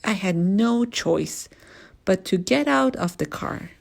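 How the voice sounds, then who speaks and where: A woman reading a first-person children's story aloud in an expressive, animated storytelling manner. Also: mid-range voice, slow, creaky.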